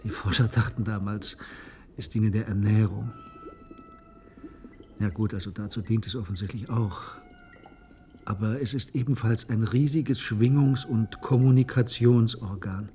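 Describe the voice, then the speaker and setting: A man's voice: fairly low pitch, steady tone, measured pace, long pauses, warm tone, a little hiss or hum. An actor playing an impassioned, cynical male researcher in a radio play, lecturing in an emphatic, sardonic way. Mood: eager explaining. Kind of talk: German radio play acting.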